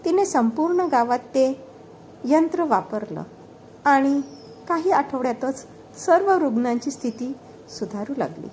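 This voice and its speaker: A woman narrating a scripted moral story in a dramatic, expressive storytelling manner. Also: high voice, unhurried, a little hiss or hum.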